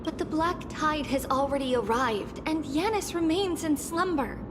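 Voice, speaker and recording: A woman's voice: high-pitched, moderate pace, background noise, natural voice. A woman voicing an anguished, devoted young girl in a dramatic video game, earnest and grave. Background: game music.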